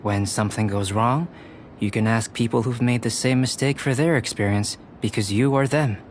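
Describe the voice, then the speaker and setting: A man voicing a calm, detached young alchemist in an anime-style video game, speaking in a measured, precise, professorial way. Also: medium pitch, slight background noise, close to an everyday voice. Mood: reflective, explanatory.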